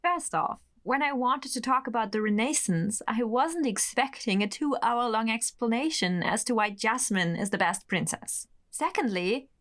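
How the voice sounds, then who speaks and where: A woman's voice: on the high side, expressive, moderate pace, hardly any pauses, a bit bright. A woman playing an obsessive, possessive and volatile lover with sweet menace in an audio horror drama. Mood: defensive, disbelieving.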